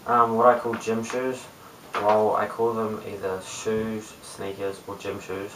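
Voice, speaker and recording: A young man in his late teens with a New Zealand accent, chatting casually to camera in a low-key, hesitant mumble. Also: level tone, a little slow, fairly bright tone. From Christchurch, New Zealand. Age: young adult, late teens. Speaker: amateur video blogger. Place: small bare room indoors. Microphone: webcam at a short distance. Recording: roomy, distant webcam mic with hiss.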